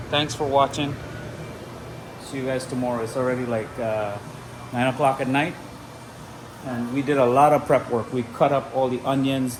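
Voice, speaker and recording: A man's voice: mid-range voice, reverberant, noisy background. A man with roots in Trinidad and Venezuela, chatting casually to his viewers while cooking in a home kitchen. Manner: chatty, addresses viewers directly. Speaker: vlogger.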